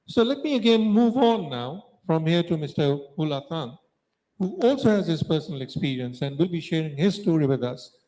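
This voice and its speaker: Man, panel moderator, mellow tone, slightly high voice, fluent with fillers. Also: low-quality recording. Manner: fluent, lists items with fillers. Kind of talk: panel discussion remarks.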